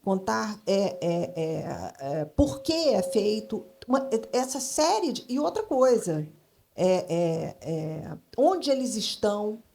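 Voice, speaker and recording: A Brazilian woman speaking off the cuff on a panel, halting and emphatic, repeating phrases as she thinks aloud. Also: mid-range voice, sing-song, vocal fry, mellow, slight background noise. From Brazil, grew up in Brasília. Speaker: panel speaker, creative consultant in advertising. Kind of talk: spontaneous panel discussion.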